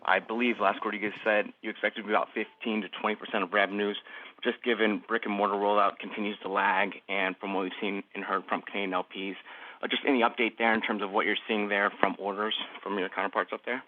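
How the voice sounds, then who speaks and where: A man's voice: lowish voice, level tone, fast talker. A male analyst asking questions on a conference call over the phone, polite, fluent and businesslike. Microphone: telephone. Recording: narrow tinny phone line.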